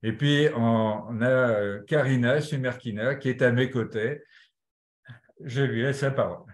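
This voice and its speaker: A man's voice: medium pitch, a little slow, reverberant. A man presenting as a centre manager in an informal way, hesitating as he searches for words.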